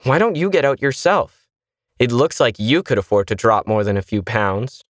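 A man's voice: medium pitch, big pitch swings, moderate pace, dry recording, clean studio sound, pitched above the performer's own voice, close to an everyday voice. A man voicing a stressed, weary woman who trains machines, curt and defensive, in an audio story. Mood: snapping back.

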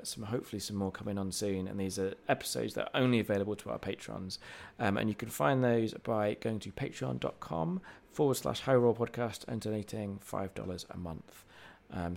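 A man's voice: mid-range voice, vocal fry, a little mellow. A man hosting a tabletop game podcast, upbeat as he reads thanks and plugs to close the episode. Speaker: podcast host and player. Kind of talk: casual outro chat and sign-off.

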